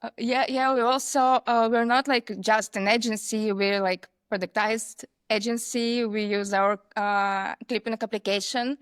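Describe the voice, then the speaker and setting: A woman from Belarus with a Belarusian accent, a podcast guest speaking English hesitantly with many pauses for words. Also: on the high side, fairly even intonation, clear, resonant tone, dry recording, studio quality. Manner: hesitant, searching for words, frequent uhs. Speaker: guest, agency founder. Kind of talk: casual interview chat.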